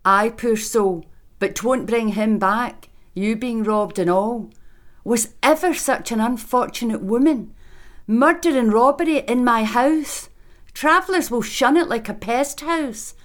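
A man voicing a fretful, self-pitying middle-aged inn landlady in a high, wailing voice with old-fashioned country speech. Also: a little slow, fairly bright tone.

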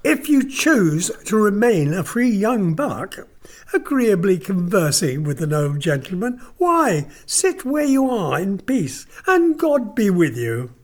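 Male narrator voicing a sneering elderly lord; high, slow, wide-ranging delivery. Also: very animated, heavily acted. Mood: sly. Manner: leering, drawling, sudden harsh bursts. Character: sly mocking old nobleman, uncle, lame.

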